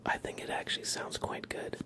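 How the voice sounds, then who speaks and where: A man hosting an ASMR livestream, chatting casually in a soft, hushed, gentle manner. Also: a little fast. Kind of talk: whispered ASMR, casual rambling chat.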